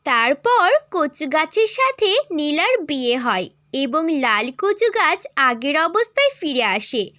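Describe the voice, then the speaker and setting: A woman's voice: high-pitched, very animated, unhurried, sharp tone, no room sound, clean studio sound. A woman giving scripted storybook narration for a children's folk tale.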